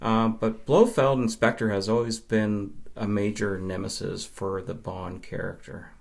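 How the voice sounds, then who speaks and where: A man with a fairly low voice talks as a guest on a podcast. He explains things conversationally, in measured phrases broken by pauses. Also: steady tone, creaky. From Nova Scotia, Canada. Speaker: podcast guest, film fan. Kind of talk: conversational interview answers.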